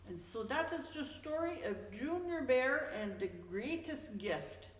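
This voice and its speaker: A woman reads a children's story in a big reverberant space, a little away from the mic. Her delivery is unhurried and expressive, with a very animated rise and fall as she tells it to young listeners. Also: high-pitched. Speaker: storyteller, host of a story time. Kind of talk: children's picture book read-aloud. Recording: echoey, reverberant room, distant mic.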